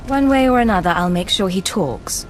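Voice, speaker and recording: Actress as a cool, lively-pitched female secret agent. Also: normal speed, faint music behind, close to an everyday voice. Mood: cold, threatening. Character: tough, sardonic female Imperial secret agent. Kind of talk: scripted video game dialogue.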